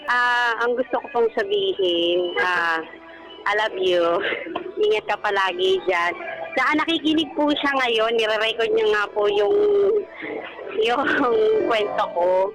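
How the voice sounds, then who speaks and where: A woman's voice: a little high, very animated, takes it slowly, long pauses, poor audio. A Filipino woman speaking politely and personally as a caller on a radio phone-in show. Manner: polite, deferential speech. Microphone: phone call. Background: background music.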